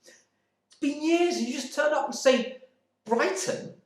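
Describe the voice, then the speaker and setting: Man putting on a shrill, high-pitched distraught wife. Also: slow, heavily acted. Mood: hysterical, accusing. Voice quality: screeching, high. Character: distraught, shrill English wife, demanding answers. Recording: roomy indoor echo.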